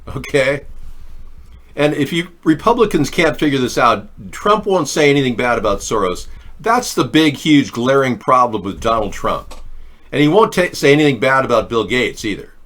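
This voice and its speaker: Man, show host, political rant, sarcastic, animated pitch, mid-range voice. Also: moderate pace. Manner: sarcastic, ranting. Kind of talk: political commentary livestream.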